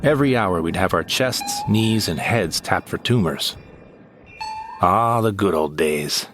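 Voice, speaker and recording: A man narrating a first-person audio drama in a wry, unhurried manner. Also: deep voice, expressive, noisy background.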